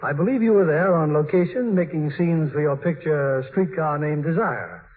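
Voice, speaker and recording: A man's voice: on the high side, animated, moderate pace. A man hosting a 1950s radio show, delivering scripted interview lines in a formal, polished announcer manner. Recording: tinny, faintly hissy 1950s radio.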